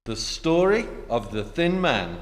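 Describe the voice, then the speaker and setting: A man announcing credits in a measured, formal old-time radio style. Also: mid-range voice, very animated. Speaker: announcer reading cast credits. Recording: noisy hall with audience sound.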